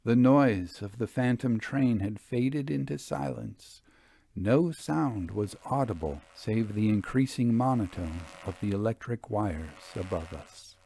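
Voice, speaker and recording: A man reading an old-fashioned ghost story in a deliberate, theatrical, suspenseful way, with dramatic pauses. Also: fairly low pitch, animated, gravelly, warm tone, slight background noise. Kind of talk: dramatic audiobook narration of a Victorian ghost story.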